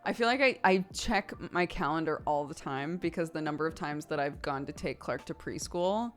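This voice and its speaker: A woman's voice: low-pitched, normal speed, faint music behind. A woman in her thirties streaming from home, chatting casually with her viewers, giggling often and swearing offhandedly.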